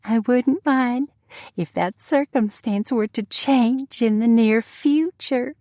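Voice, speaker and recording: A nasal, drawling spinster. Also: female voice, fairly high pitch, warm tone, no echo, clean studio sound, voice pushed up. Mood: coy. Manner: slow, coy and gushing.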